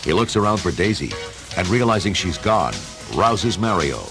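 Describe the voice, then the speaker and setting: A man's voice: low voice, big pitch swings, moderate pace. A man giving theatrical scripted narration for a radio adventure story. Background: music.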